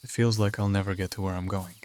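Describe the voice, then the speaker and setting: A man's voice: deep voice, fairly even intonation, fast talker, a little mellow, a little hiss or hum, natural voice. A man voicing a weary, ancient vampire hero in a fantasy audio drama, hesitant, subdued and melancholy. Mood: melancholy, longing.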